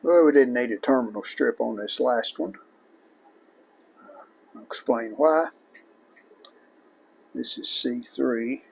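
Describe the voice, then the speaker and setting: A man with a creaky, gravelly voice at a medium pitch walks through a hands-on repair job. He talks casually and without hurry, in short plainspoken phrases as he works. A faint noise sits behind his voice. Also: low-quality recording. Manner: unhurried, plainspoken, talking in short phrases while working. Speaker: host of a vintage electronics repair channel.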